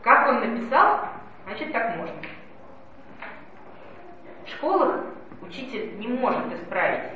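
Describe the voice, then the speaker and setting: A woman's voice: fairly high pitch, sing-song, measured pace, pauses often, sharp tone. Woman lecturing in an echoey hall. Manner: explanatory, addresses listeners directly. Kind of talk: spoken lecture on language policy. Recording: echoey distant lo-fi hall recording with noise.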